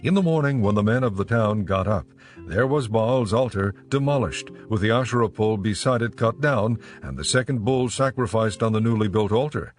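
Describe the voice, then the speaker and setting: A man narrating a dramatized audio Bible in a measured storytelling manner. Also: lowish voice, soft background music.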